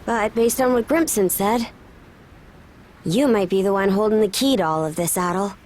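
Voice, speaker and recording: An actress playing a frank, capable young daughter of a seafaring chief in a video game, casual and matter-of-fact. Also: female voice, mid-range voice, normal speed, a little mellow, slight background noise, natural voice. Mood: explanatory. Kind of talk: video game cutscene acting.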